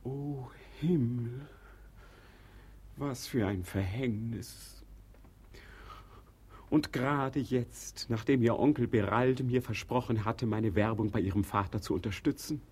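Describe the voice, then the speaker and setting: Husky, ardent young lover. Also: male voice, medium pitch, slow, long pauses, a little mellow. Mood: dismayed. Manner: melodramatic. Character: ardent, earnest young suitor. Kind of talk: German radio play acting of classic comedy.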